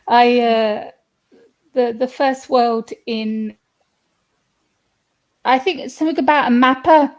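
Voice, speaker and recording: A woman's voice: fairly high pitch, steady tone, slow, pauses often. A woman from the UK with a British accent, an author talking casually on a video call. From United Kingdom. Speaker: author and podcast host. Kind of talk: casual panel talk. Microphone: video call with a bad connection.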